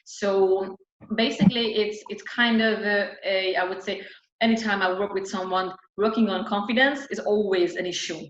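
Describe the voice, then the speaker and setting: Woman vocal coach, interview guest, halting nonnative English, roomy recording. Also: medium pitch, fairly even intonation. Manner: frequent restarts and fillers, nonnative phrasing. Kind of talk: casual reflective interview talk. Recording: roomy, dull lo-fi sound.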